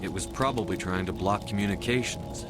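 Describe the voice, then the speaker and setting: An actor playing a composed, reassuring military commander in a video game, speaking in a measured, authoritative, direct way. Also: male voice, low-pitched, over loud music, natural voice. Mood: probing. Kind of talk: video game cutscene acting.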